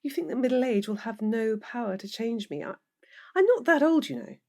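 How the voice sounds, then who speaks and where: A woman's voice: on the high side, expressive, a little fast, mellow. Audio drama role: anxious professional woman, forties, emotional, easily rattled, slightly needling, naturalistic delivery. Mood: wistful, unsettled. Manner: emotional, questioning, easily worked up. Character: anxious, wine-drinking middle-aged professional woman, wistful and needling.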